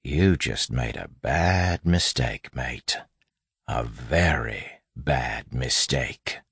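A man voicing a loyal, soft-spoken fighter in an audiobook, hushed and deliberate. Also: extremely low pitch, vocal fry, husky, dry recording, studio quality, full character voice. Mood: quiet menace. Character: loyal, softly spoken man, quietly threatening dueling sidekick. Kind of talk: audiobook character acting.

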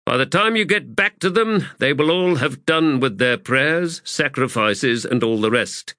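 A man's voice: mid-range voice, animated, moderate pace, a bit bright, dry recording, studio quality, pitched above the performer's own voice, natural voice. A man voicing a commanding, exasperated army commander in a formal, clipped audiobook performance. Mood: loud, orderly. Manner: formal, clipped, authoritative oratory. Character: commanding, exasperated male army commander addressing his troops. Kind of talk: audiobook character acting.

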